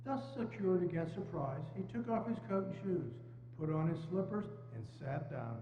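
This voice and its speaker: A man's voice: high voice, big pitch swings, normal speed. A man reading scripted dramatic narration as a storyteller. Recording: muffled, echoey hall, hum.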